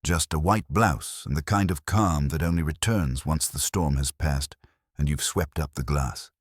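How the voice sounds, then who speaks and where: Very deep-voiced man narrating detective fiction. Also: a little slow, breathy edge, no echo, studio quality. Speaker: audiobook narrator. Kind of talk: scripted first-person detective story narration.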